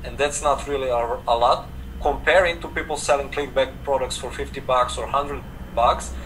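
A man's voice: mid-range voice, moderate pace. A young man from Serbia with a Serbian accent, a native Serbian speaker, talking casually as an interview guest, full of "like" fillers. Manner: relaxed, frequent "like" fillers, gestures while talking. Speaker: guest, affiliate marketer. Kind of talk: casual video call interview. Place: home room indoors. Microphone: video call webcam. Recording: distant webcam mic with air conditioner hum.

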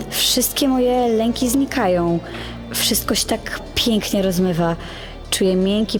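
A young actress playing an insecure teenage girl who narrates her inner thoughts as a confession in an audio drama. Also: mid-range voice. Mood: dreamy, elated. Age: teens to young adult. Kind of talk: introspective first-person dramatic narration. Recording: music under the voice.

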